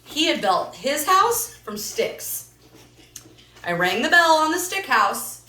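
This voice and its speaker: A woman voicing a folksy, mock-innocent wolf telling his side in an animated storybook read-aloud. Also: high-pitched, takes it slowly, full character voice. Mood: aggrieved, dramatic. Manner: dramatic, emphatic storytelling. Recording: echoey room, distant mic.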